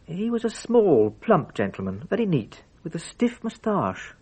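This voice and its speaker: An actor playing a polite hotel clerk in a radio drama, speaking in a courteous, careful manner. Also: male voice, high voice, huge pitch swings, a little slow, a little mellow, husky, exaggerated voice. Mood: polite, helpful.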